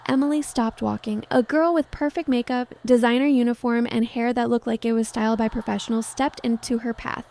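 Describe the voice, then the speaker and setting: Female narrator for scripted story drama: higher pitch, very mellow tone. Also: fairly even intonation, brisk, vocal fry, hardly any pauses.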